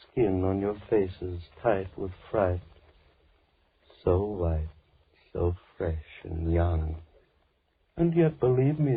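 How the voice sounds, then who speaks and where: A deranged killer in an old radio horror play, rather low and very husky. He muses theatrically and politely, with menace under the gentleness. The sound is tinny and muffled, like an old broadcast. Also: heavily acted. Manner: theatrical, mock-polite, slow musing monologue. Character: soft-spoken deranged male killer, lonely, mock-gentle, menacing. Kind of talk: 1940s radio horror acting.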